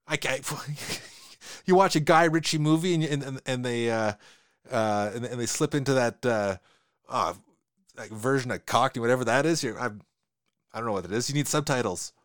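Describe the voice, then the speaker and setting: A man with a Western Canadian accent, talking fast in casual conversation and often repeating his words. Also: medium pitch, clean tone, no echo, clean studio sound.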